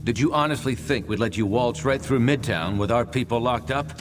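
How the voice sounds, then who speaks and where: A man's voice: medium pitch, fairly quick, music in the background, lightly acted. A man playing a cocky, defiant superhero fighter in a video game, bold and taunting. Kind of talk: video game action acting.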